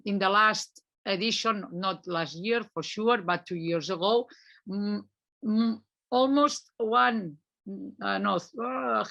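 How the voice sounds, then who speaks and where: A woman's voice: mid-range voice, creaky, fairly bright tone. A woman from Spain, giving an unscripted conference presentation over a video call and hesitating often as she searches for words. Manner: hesitant, many fillers and restarts.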